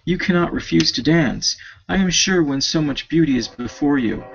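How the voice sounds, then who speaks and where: Male reader as affable society gentleman from a classic novel, courtly and talkative, slightly high voice, lo-fi recording. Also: lively intonation, moderate pace, vocal fry, slight background noise. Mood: gallant. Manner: formal, courtly, wordy. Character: affable, chatty English gentleman fond of society. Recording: dull lo-fi home recording.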